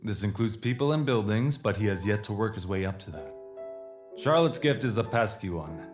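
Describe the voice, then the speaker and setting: A man playing a courteous, formal leader of a secret organization in an audio drama, speaking in a measured, polite way. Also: on the low side, animated, soft background music, close to an everyday voice. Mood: calm, explanatory.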